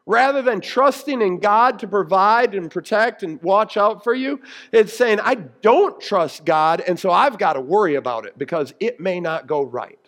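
High-pitched American man preaching. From United States. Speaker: pastor preaching. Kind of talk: sermon, explaining scripture.

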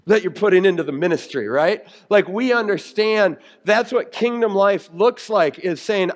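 An American man delivers a sermon in a noticeably high-pitched voice. He sounds like a pastor explaining scripture, earnest and conversational, putting emphasis on his key phrases. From United States. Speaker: pastor preaching.

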